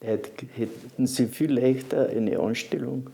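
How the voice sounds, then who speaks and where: An elderly man with a Carinthian accent remembers his past in an unhurried interview. His voice is dark and mellow, with a heavy gravelly fry. He often stops mid-phrase and starts over, and his first language is Slovene. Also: medium pitch. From Carinthia, Austria. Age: 80s. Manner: halting, with restarts and fillers. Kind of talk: reminiscing interview.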